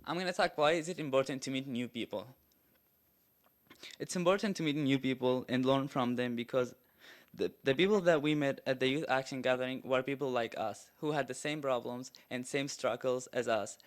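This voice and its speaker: A man's voice: mid-range voice, moderate pace. A teenage boy giving an earnest, prepared presentation at a school board meeting. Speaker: student presenter.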